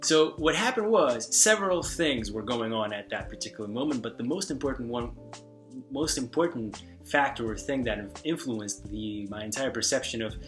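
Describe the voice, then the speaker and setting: A man whose first language is Hungarian talks through language-learning advice in a loose, unscripted way, circling back and restarting his sentences. His voice sits at an ordinary middle pitch. Faint guitar music plays quietly under him. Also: moderate pace. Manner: relaxed, rambling, many restarts and fillers. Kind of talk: casual spoken advice.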